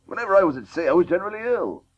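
An actor playing an ageing, proud and hearty celebrated cricketer in a radio drama. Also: male voice, sits high, big pitch swings, very fast, breathy edge, heavily acted. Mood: jovial. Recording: dull, restored 1980s radio tape.

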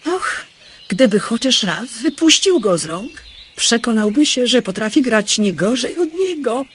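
A woman's voice: fairly high pitch, very animated, a little slow. A proud, lovesick young princess in a fairy-tale radio play. Her voice swoops expressively, with faint noise behind it. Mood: yearning. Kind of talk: audio drama acting.

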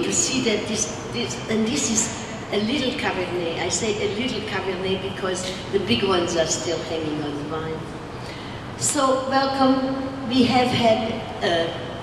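A woman's voice: mid-range voice, noisy background. An older woman giving a rambling welcome speech into a handheld microphone, often repeating and restarting her words. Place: large winery production hall with steel equipment, indoors. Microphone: handheld microphone through a PA. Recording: echoey hall heard from far off through a PA.

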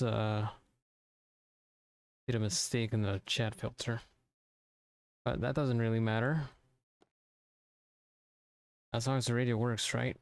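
A man narrating a software tutorial informally, thinking aloud step by step into a close mic. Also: medium pitch, gravelly, clear, resonant tone, dry recording. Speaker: tutorial presenter.

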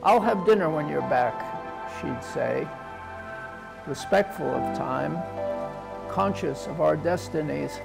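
A man in his sixties reading a poem in a solemn, deliberate, measured way. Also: on the high side, animated, creaky, loud music behind the voice. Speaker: poet. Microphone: lapel.

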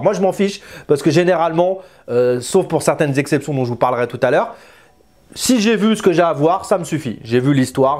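A man in his thirties with a fairly high voice talks to camera as a game reviewer. His delivery is informal and chatty, and he repeats and corrects himself as he goes. He speaks into a lapel mic in a small indoor room. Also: darkish tone. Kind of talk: casual spoken review to camera.

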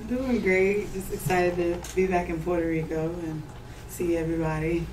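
Young American woman, relaxed interview guest, slightly low voice, distant roomy mic with faint ambience. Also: normal speed, slight background noise. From United States. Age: young adult. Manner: relaxed, loose, many fillers and restarts. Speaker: interview guest, pro hurdler. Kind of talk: casual interview answers.

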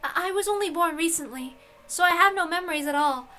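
Woman voicing a meek, high-pitched young witch girl. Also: fairly quick, bright, forceful tone, soft background music. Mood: earnest. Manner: hesitant, stammering, earnest.

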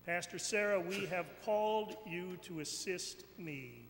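A man delivers a sermon in a bright, forceful voice, slowly and deliberately. He declaims with weighty pauses and repeated phrases. The sound rings through a large church with some noise behind him. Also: sits high. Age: middle-aged or older. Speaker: bishop preaching. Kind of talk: sermon, slow and emphatic with pauses. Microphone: pulpit microphone. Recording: echoey church hall, background noise.

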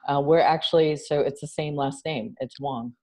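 A middle-aged woman speaks over a video call from a room at home. Her voice is deep and mellow for a woman. She tells stories in an animated way and acts out the other people in them. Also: moderate pace. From New York City. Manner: animated storyteller, gestures a lot, laughs. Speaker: guest, social entrepreneur. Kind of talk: casual interview chat. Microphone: video call webcam.

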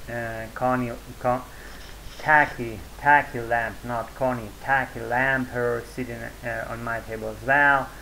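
A man's voice: medium pitch, vocal fry, bright, forceful tone, slight background noise, rough recording. A man in his forties who is a native Russian speaker, talking in a rambling casual vlog to his webcam at home, full of fillers and self-corrections, with a sore throat. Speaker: vlogger. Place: small room at home, indoors. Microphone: webcam at desk.

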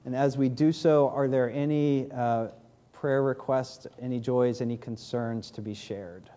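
A man in his thirties with a New England accent, a pastor giving church announcements and leading prayer at a podium mic, speaking haltingly with many fillers. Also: mid-range voice, fairly even intonation, warm tone. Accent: New England American. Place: large church sanctuary, in doors.

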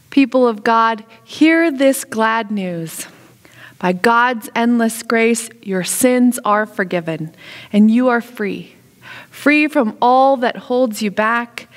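A middle-aged woman pastor leading confession and prayer in a measured, solemn way. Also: fairly high pitch, animated, in a large hall. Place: church sanctuary, indoors.

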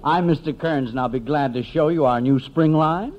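A man's voice: fairly high pitch, moderate pace, close to an everyday voice. A man playing a courteous, eager-to-please menswear salesman in 1950s American radio comedy. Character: polite, obliging menswear salesman. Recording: muffled 1950s radio recording, faint noise.

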